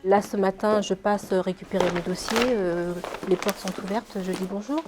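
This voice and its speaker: A woman's voice: mid-range voice, fairly even intonation, moderate pace. A woman medical secretary talking casually and plainly in an interview, in colloquial everyday speech. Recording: faint background noise, room ambience.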